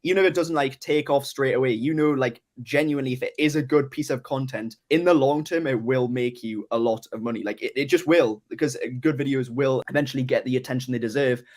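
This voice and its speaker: A man's voice: mid-range voice, fast talker, few pauses, darkish tone. Chatty male host, eager, loose, filler-heavy. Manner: enthusiastic, heavy use of "like". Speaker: host, agency owner interviewing client. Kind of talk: casual interview chat.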